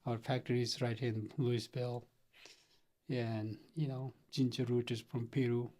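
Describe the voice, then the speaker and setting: A man's voice: medium pitch, level tone, a little slow, warm tone. A middle-aged man from Nepal, earnestly telling his story as a guest in a casual interview, chuckling often. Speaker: company founder guest.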